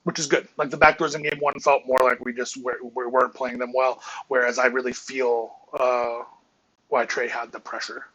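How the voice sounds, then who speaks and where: A man's voice: medium pitch, little pitch movement, moderate pace, sharp tone, slightly rough, lo-fi sound. A man on a live stream reviewing his team's game footage, talking in a rambling, analytical way with many restarts, and he says he is losing his voice. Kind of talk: casual live-stream film breakdown.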